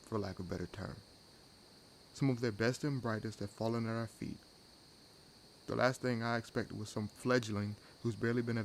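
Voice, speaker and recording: A man playing a centuries-old, wry and world-weary vampire in an audio roleplay, musing dryly to his companion with unhurried pauses. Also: on the low side, natural voice. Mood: rueful, annoyed. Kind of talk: audio roleplay acting addressed to the listener. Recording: clear background hiss and night ambience. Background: added forest ambience with crickets and insects.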